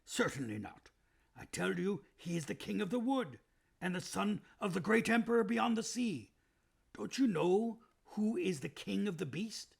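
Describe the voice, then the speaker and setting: A proud, kindly male beaver from a storybook, put on by a male reader. The voice is rough and strained, with a husky gravel to it. It rises high and swings widely whenever he insists on a point. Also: animated, normal speed, exaggerated voice. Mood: earnest, emphatic.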